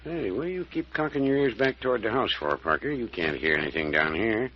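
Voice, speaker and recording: An actor playing a folksy, grumbling older country doctor with a rural American drawl, in the style of a vintage radio serial. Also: male voice, on the low side, expressive, fairly quick, slightly rough. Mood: folksy, skeptical. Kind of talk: 1930s-40s American radio serial acting. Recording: dull, noisy old-time radio recording.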